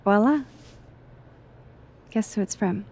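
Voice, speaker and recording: A woman's voice: mid-range voice, slow, a little mellow. A woman playing a friendly, curious young woman in video game dialogue. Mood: upbeat.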